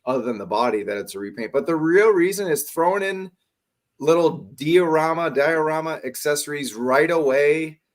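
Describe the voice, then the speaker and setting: A man's voice: on the high side, moderate pace. A man hosting a casual talk show, teasing his co-hosts and often trailing off mid-thought. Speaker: podcast host. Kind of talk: casual chat.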